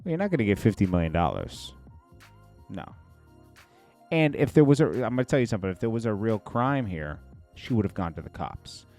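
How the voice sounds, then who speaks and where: A man's voice: fairly low pitch, very animated, fairly quick, a little mellow, soft background music. A man hosting a show with casual, opinionated gossip commentary, speaking in a blunt, slangy way. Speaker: show host.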